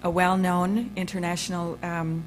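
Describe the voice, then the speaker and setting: A woman's voice: on the low side, little pitch movement, normal speed, a little hiss or hum. Woman moderator introducing a speaker. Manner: casual, searching for words with fillers. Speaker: panel moderator. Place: museum lecture room.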